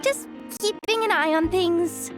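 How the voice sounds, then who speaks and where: Squeaky, excitable little companion creature. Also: female voice, unhurried. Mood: watchful, scolding. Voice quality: childlike, squeaky. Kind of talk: English video game voice acting. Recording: music playing behind the voice.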